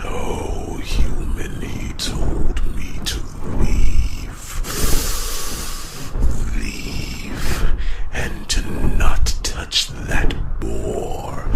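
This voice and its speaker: Casting: proud, fierce old-world dragon for fantasy ASMR roleplay. Extremely gravelly, crawlingly slow storytelling, under loud noise. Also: male voice, rumbling low, heavily acted. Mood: proud, brooding. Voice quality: gravelly, growling, breathy rasp. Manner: slow, grave, halting dramatic delivery. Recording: noisy, steady rushing ambience behind voice.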